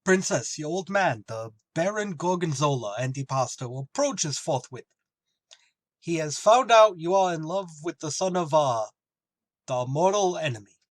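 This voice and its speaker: A man's voice: fairly high pitch, expressive, no room sound. Man playing a dim, bumbling servant. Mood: muddled, earnest. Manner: halting, stilted stage declaiming with spoonerisms. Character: dim, bumbling male servant muddling his lines. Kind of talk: old-time radio comedy acting.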